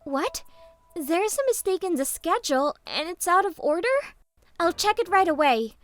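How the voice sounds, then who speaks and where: A woman's voice: very high-pitched, lively intonation, normal speed, background noise. A woman playing a cheeky, overconfident young office junior in an English manga dub. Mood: panicked.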